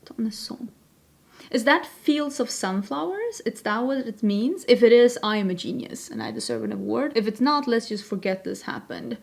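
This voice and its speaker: A woman's voice: fairly high pitch, animated, normal speed, creaky. A young woman from Sweden, chatting casually and self-deprecatingly while she does her makeup on camera. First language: Swedish. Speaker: beauty vlogger. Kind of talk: makeup tutorial chat.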